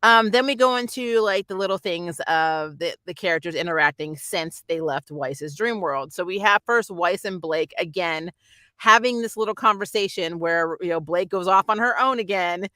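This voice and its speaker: A reviewer with a bright, forward voice, recorded close and dry with almost no room sound. The delivery is casual and conversational, with animated emphasis, like a keen fan hosting an episode review. The pitch sits in a middle range. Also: moderate pace, dry recording. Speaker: host of an anime review channel. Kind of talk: casual spoiler review chat.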